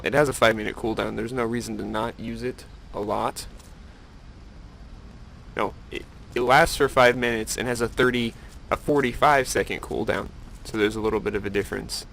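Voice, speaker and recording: A man with an average-pitched voice and a noticeable fry talks through his gameplay. He thinks aloud in a casual, rambling way and teases himself a little. The recording has a slight layer of noise. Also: fairly bright tone. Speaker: gamer narrating his own playthrough.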